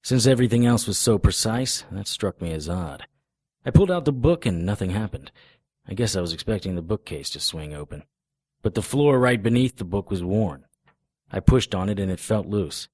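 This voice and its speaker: A man playing a wry, laconic private detective in a noir audio drama, with deadpan delivery. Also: lowish voice, moderate pace, no room sound. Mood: dry, confiding.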